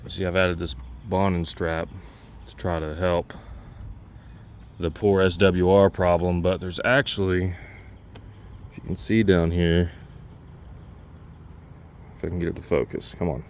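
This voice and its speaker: A man's voice: deep voice, level tone, measured pace, long pauses, a little mellow, slight background noise. A man giving a casual, unscripted DIY walkthrough, talking plainly and pointing things out as he works. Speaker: DIY vlogger demonstrating a truck CB radio install.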